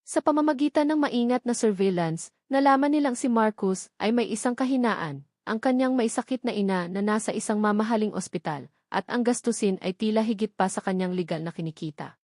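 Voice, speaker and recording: A woman with a Filipino accent narrates an audio drama. Her voice sits at a medium pitch. The delivery is scripted storytelling, recorded very dry with no room sound. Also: a little fast, a little mellow, no echo.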